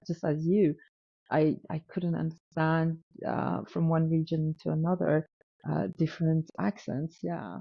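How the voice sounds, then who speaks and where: A woman's voice: low-pitched, gravelly, no echo. A woman with a French accent, a guest telling a story in a casual interview, with frequent restarts and repeated words as she acts out quoted dialogue. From North Africa. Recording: dull lo-fi sound, dry room.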